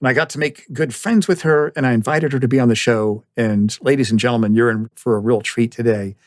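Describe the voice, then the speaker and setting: A man's voice: medium pitch, a little fast, a little mellow, no room sound, clean studio sound. A man hosting an interview podcast, speaking in an enthusiastic, effusive manner as he introduces and questions. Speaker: podcast host.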